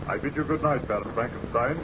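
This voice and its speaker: An actor playing a stern but courteous ship's captain, formal and authoritative, in an old radio drama with heavy noise. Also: male voice, medium pitch, quick pace, natural voice. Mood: formal, commanding. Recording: very noisy, muffled old radio recording.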